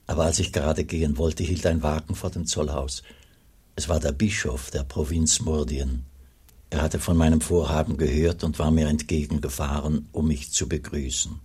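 An actor playing a humble, pious wandering monk in a German radio drama, husky and speaking in a measured, grave, formal way. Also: male voice, very deep voice, fairly even intonation, darkish tone, exaggerated voice. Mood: solemn, reflective. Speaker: actor, also narrating as the monk.

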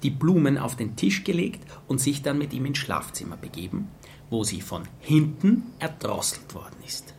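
An actor playing a police detective who reports facts and opinions in a radio crime drama. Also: male voice, medium pitch, very animated, moderate pace, a little mellow, slight background noise. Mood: factual reporting. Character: matter-of-fact male police detective briefing his boss.

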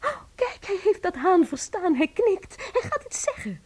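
An actress in a Dutch radio play playing a lovestruck, stubborn mistress, dreamy, dramatic and rapturous. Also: female voice, extremely high pitch, sing-song, normal speed. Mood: adoring, excited.

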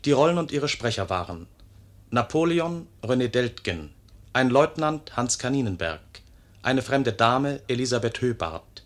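A man's voice: medium pitch, very animated, a little slow. A man reading a formal radio announcement in 1950s broadcast style.

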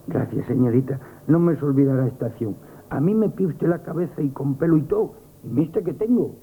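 An actor in a Spanish radio comedy playing a nervous, lovestruck young grocery lad, gushing and stammering with an accent from Spain. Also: male voice, medium pitch, lively intonation, brisk, gravelly, breathy edge, narrow, tinny audio. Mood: devoted, defiant. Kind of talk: 1970s radio comic theatre acting. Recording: band-limited vintage radio broadcast.

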